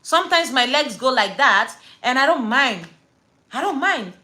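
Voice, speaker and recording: A woman from Nigeria with a Nigerian accent, chatting casually on a live stream from home. She tells stories in an animated, chatty way and drops pidgin slang. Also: high-pitched, moderate pace. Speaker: livestream host.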